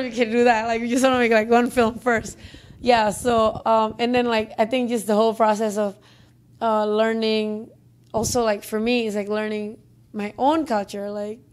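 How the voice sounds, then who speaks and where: Young Indonesian-accented woman director, slightly high voice, loose and chatty interview delivery. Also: darkish tone. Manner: chatty, many fillers and restarts. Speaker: film director. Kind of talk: interview answers. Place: office conference room, indoors. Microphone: handheld mic.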